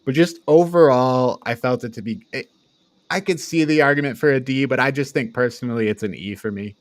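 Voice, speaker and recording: A man's voice: medium pitch, a little fast, dry recording. A man hosting a podcast, chatting casually as part of a panel reviewing movies. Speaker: podcast host.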